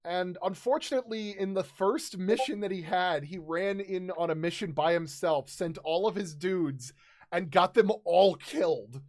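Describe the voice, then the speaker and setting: Guy in his 30s hosting a game stream, voice a bit high, halting and rambly storytelling. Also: a little mellow. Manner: rambling, halting, searching for words. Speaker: stream host and tabletop game master. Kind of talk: casual recounting of tabletop game stories.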